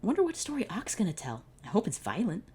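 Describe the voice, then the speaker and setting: A woman's voice: medium pitch, wildly swooping pitch, fast, mellow, slightly rough, pitched above the performer's own voice, full character voice. A woman voicing a curious, blunt young boy who mocks the people he talks to.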